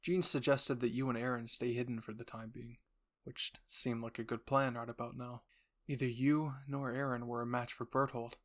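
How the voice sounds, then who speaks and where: A man's voice: medium pitch, little pitch movement, normal speed. A man reading scripted second-person story narration for a roleplay. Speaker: narrator and voice actor.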